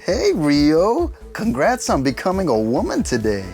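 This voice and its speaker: A man's voice: on the high side, huge pitch swings, measured pace, a little mellow, soft background music. A man playing a casual, joking teenage DJ friend in an audio drama. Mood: teasing, apologetic. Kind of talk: audio fiction drama acting.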